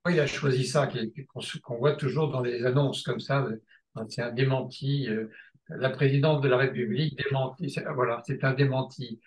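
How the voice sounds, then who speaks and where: A man's voice: mid-range voice, steady tone, normal speed, roomy sound, low-quality recording. A man teaching a seminar, talking off the cuff, often restarting and stalling on filler sounds, then hammering a point with repetition. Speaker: seminar lecturer in psychoanalysis.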